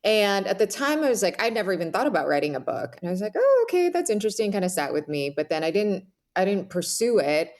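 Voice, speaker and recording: A woman's voice: medium pitch, very animated, fast talker, hardly any pauses, a little mellow. A woman speaking as a podcast guest, reflective and conversational, with casual phrasing.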